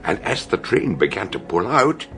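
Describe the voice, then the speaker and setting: Gravelly, theatrical British detective doctor. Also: male voice, lowish voice, very animated, pushed and bright, soft background music, exaggerated voice. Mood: confident, explaining. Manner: theatrical, emphatic storytelling with dramatic pauses. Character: shrewd, theatrical older male detective doctor explaining a murder. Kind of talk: British radio drama acting.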